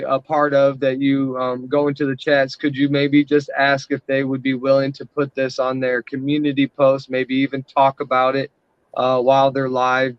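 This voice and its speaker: A man's voice: medium pitch, clear, resonant tone, dry recording, muffled recording. A man hosting a casual livestream, rambling with many fillers and restarts as he talks to his chat. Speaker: livestream host.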